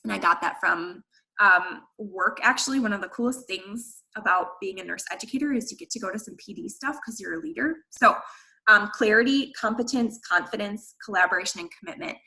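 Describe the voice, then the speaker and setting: A woman with a bright, forceful voice speaks to her team in an earnest, candid way. She encourages her listeners, with everyday fillers running through her talk. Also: medium pitch, brisk, creaky, rough recording. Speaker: guest speaker at a team training. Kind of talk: motivational talk to a sales team.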